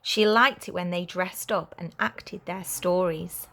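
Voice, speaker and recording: A woman reading a children's story aloud as a teacher would, in a clear, expressive way for young listeners. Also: lowish voice, a little slow.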